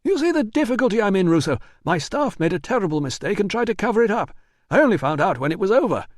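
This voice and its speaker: A man putting on a shrill, blustering boss. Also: very animated, no echo, studio quality. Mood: indignant. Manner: indignant, rapid, shrill outbursts. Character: blustering panicky male employer, shrill. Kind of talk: audiobook dialogue.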